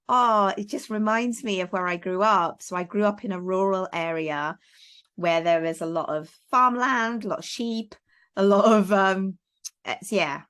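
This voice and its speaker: A woman's voice: medium pitch, a bit bright. A middle-aged woman from Wales, talking casually as a guest on a video call, with lots of fillers and restarts. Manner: chatty, many fillers and restarts. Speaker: guest, parenting coach. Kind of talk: casual interview chat.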